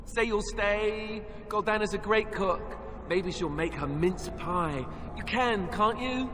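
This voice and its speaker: An actor playing a naive, cheerful young warrior with an affable manner in a video game. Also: male voice, sits high, takes it slowly, long reverb tail. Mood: blissfully happy. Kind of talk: naturalistic video game acting.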